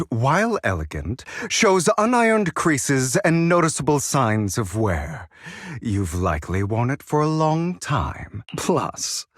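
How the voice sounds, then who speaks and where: Sly theatrical gentleman, video game character, suave, courteous, teasing, mid-range voice, very animated intonation, dry sound. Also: mid-range voice, no room sound, heavily acted. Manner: measured, elegant, teasing, drawn-out words.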